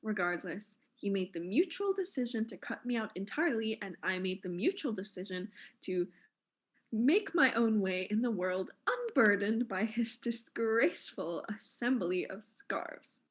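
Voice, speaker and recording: An actress playing a vain, self-important fashion mogul in a comic audio drama, arch and condescending in manner. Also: female voice, mid-range voice, animated, unhurried. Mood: indignant, boastful.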